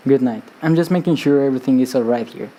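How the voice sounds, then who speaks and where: A composed, authoritative doctor played by a man in a radio drama. His voice is mellow and mid-pitched, and his delivery is measured and firm. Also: slight background noise, natural voice. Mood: calm, checking. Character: calm, firm psychiatric doctor checking on a patient.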